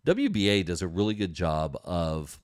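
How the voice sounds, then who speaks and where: Male podcast host, low-pitched, dry close sound, conversational and enthusiastic delivery with repetition for emphasis. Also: sing-song, moderate pace, no room sound. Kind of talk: casual industry news talk to an audience.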